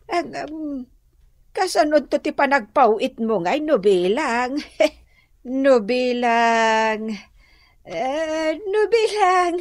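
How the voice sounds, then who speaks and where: Actress as talkative village wife, Ilocano accent, high swooping voice, easily annoyed, radio comedy drama, close dry sound. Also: female voice, expressive, drawn-out words, dry recording, heavily acted. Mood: wheedling, teasing.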